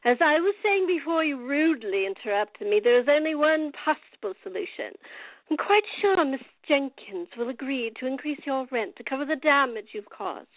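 A woman's voice: high-pitched, lively intonation, moderate pace, no echo. A woman playing a cantankerous, commanding woman in her eighties in radio theater, stern, formal and scolding. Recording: tinny, phone-like narrow band.

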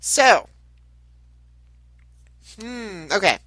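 A woman's voice: medium pitch, expressive, drawn-out words, a bit bright, no room sound. A woman with a nasal voice, getting over a head cold, giving a rambling spiritual talk as a channel host and card reader. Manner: meandering, chatty, with many restarts. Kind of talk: casual spiritual talk to camera.

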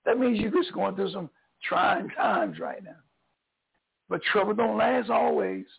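Hoarse older Southern pastor preaching. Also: male voice, sits high, measured pace, narrow, tinny audio. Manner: preacherly, swings between loud and soft. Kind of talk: encouraging sermon.

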